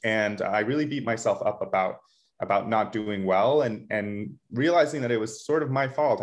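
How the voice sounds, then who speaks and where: Low-pitched young American man, earnest presenter. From Florida, United States. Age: young adult. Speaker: medical tutor and resident presenting a webinar. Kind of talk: conversational webinar presentation.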